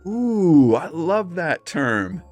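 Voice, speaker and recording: A man's voice: on the high side, big pitch swings, very slow, darkish tone, soft background music. Casual man co-hosting a paranormal chat. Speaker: podcast co-host. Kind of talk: casual paranormal discussion with personal anecdotes.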